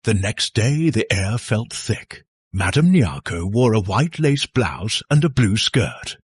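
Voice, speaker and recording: Male horror-folktale narrator: very raspy, unhurried, suspenseful and theatrical, recorded close and dry. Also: lowish voice, very animated, no room sound. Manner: suspenseful, theatrical delivery with dramatic pauses. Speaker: storyteller.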